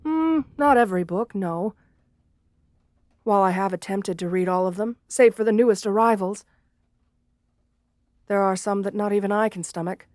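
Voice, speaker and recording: A woman's voice: medium pitch, normal speed, long pauses, a little mellow, no room sound, studio quality, natural voice. A woman playing a shy, sweet, bookish gargoyle in a romantic ASMR roleplay, speaking hesitantly with polite, old-fashioned formality. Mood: gentle, wistful.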